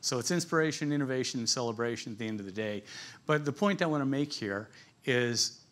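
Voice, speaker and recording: A man presents to a business conference in an informal, conversational way. His pitch sits in the middle range, and he tells things like stories with a light, joking touch. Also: animated, brisk, fairly bright tone. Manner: conversational, jokey storytelling, chuckles at his own asides.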